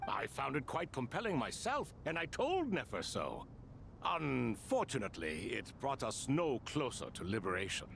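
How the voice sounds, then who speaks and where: Creaky, expressive ancient spirit lord. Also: male voice, medium pitch, moderate pace, a little hiss or hum, heavily acted. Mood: thoughtful. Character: ancient, genial, courteous male spirit lord. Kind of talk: scripted video game voice acting.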